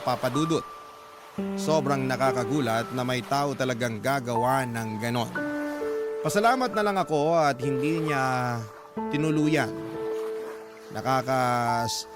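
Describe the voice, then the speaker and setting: A man's voice: mid-range voice, animated, unhurried, loud music behind the voice, natural voice. An actor playing a poor young man who recounts his hard life in scripted first-person radio drama narration, sorrowful and confiding, with a Filipino accent. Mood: subdued sadness.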